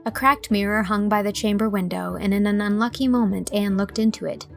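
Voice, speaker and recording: A woman narrating a literary audiobook, telling the story in a measured, expressive way from a script. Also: medium pitch, gravelly, soft background music.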